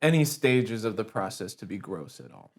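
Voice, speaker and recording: A man being interviewed as an animation writer, deadpan and condescending, pushing back combatively in a comic interview. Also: on the low side, normal speed, faint music behind. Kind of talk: deadpan improvised comedy interview.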